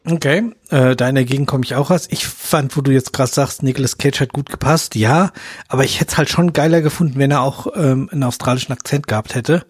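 Husky man chatting about films. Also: medium pitch, brisk, no echo, studio quality. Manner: colloquial, slangy. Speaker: film podcast host. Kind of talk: casual film review chat.

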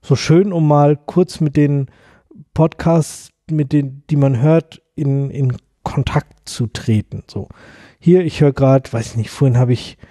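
Husky, very dark-voiced man. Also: fairly high pitch, no room sound, studio quality. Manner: short agreeing replies, slow explaining. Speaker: podcast co-host. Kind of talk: casual podcast chat.

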